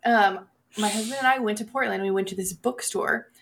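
A woman's voice: mid-range voice, brisk, gravelly, a bit bright. A woman co-hosting a podcast in casual chat, talking in a rambling, self-aware way that slips easily into tangents. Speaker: podcast co-host. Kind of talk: casual chat about horror movies.

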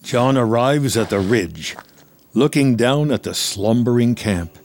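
A man's voice: mid-range voice, lively intonation, unhurried, darkish tone, no room sound. A man reading scripted screenplay narration of a film story. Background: music.

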